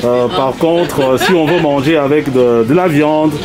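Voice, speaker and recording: A man doing chatty street interviews at an outdoor market fair, joking with stallholders and pausing often on fillers. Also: fairly high pitch. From Belgium. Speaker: reporter. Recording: loud crowd noise behind.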